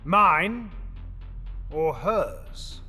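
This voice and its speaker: A man's voice: on the high side, lively intonation, extremely slow, sharp tone, slightly rough, soft background music, heavily acted. A man voicing a scheming male goblin who mocks and cackles, in an audio drama with long hall reverb. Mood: gloating. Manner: mocking, cackling.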